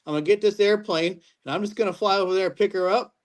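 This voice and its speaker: A man calls in to a radio show and talks in a gruff, laid-back drawl. He swears constantly as he chats. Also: sits high, fast. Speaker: caller. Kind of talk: casual call-in radio chat.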